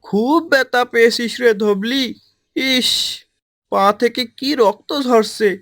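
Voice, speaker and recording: Simple kindly cowherd boy in a cartoon, earnest n expressive, soft music underneath. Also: extremely high pitch, slow, heavily acted. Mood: relieved concern. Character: young village cowherd lad, anxious, kindly, simple. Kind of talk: dramatized cartoon story dialogue.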